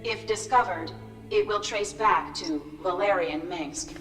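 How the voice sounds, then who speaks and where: A woman playing a cold military schemer in a video game, deliberate and controlled, heard distant and echoey. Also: medium pitch, fairly bright tone, faint music behind, lightly acted. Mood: calculating. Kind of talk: video game cutscene acting.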